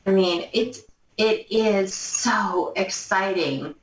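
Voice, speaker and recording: A woman's voice: medium pitch, slow. A woman in her mid fifties giving an unscripted testimonial in a gushing, emphatic, enthusiastic way. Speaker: company distributor giving testimonial. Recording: roomy, echoey, distant mic.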